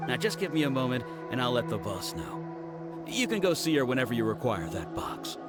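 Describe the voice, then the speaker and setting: Male actor as polite game merchant, grateful, formal salesman manner, mid-pitched, very animated intonation. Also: brisk, lightly acted. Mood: eager, salesmanlike. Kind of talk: video game voice acting. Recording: game music behind the voice.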